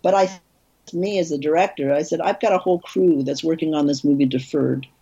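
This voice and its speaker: A woman film director, an interview guest, telling animated stories in casual conversation with frequent restarts. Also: brisk, lo-fi sound. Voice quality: husky, low.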